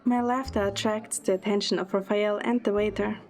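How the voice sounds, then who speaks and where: A woman playing a quick-witted young heroine, narrating an audio drama in the first person. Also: medium pitch, fairly even intonation, a little mellow, close to an everyday voice.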